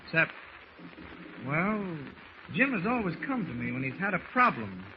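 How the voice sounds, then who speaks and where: Earnest radio hero, highish voice. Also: male voice, big pitch swings, crowd noise. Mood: concerned. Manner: earnest, well-spoken, measured. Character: upright, concerned adult man, calm and authoritative hero. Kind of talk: 1940s radio acting. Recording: muffled, noisy old radio recording.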